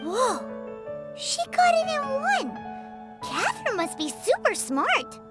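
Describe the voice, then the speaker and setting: Childlike fairy companion, very high voice, swooping intonation, exclamatory, video game. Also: female voice, huge pitch swings, slow, full character voice. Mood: excited. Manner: refers to herself in third person, exclamatory. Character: excitable little fairy companion girl, childlike and naive. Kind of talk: anime-style video game acting. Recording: music behind the voice.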